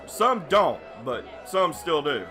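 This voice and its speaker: A man's voice: fairly high pitch, big pitch swings, takes it slowly. A man playing a brash, scheming pony rabble-rouser in an audio drama, cocky and conspiratorial. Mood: rousing, scheming. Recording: tavern crowd and music behind.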